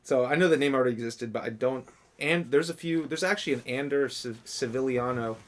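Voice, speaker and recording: A man in his twenties, a podcast guest telling jokey stories in casual chat. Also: medium pitch. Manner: fast, upbeat, jokey talker. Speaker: guest, company CEO.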